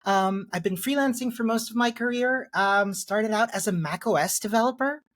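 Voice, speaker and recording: A woman's voice: a little high, normal speed. A woman from Chile, a podcast guest, talking casually about her career with self-deprecating jokes. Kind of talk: casual interview.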